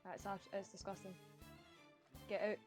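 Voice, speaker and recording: A woman's voice: medium pitch, level tone, unhurried, a little mellow, faint music behind. A young woman in casual chat, blunt and sarcastic. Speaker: debate participant.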